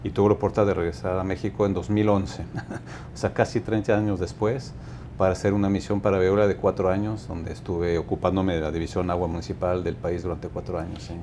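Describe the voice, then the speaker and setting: A man in his fifties from Mexico, a company director answering interview questions in a measured, thoughtful way, often restarting his sentences. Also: sits low, little pitch movement, dark tone, a little hiss or hum. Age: 50s.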